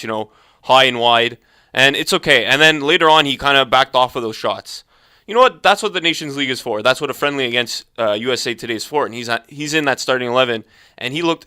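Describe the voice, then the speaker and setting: A man's voice: mid-range voice, bright, forceful tone, clean tone. A man hosting a sports podcast, speaking confidently and emphatically with firm opinions. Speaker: podcast host. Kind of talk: casual sports chat.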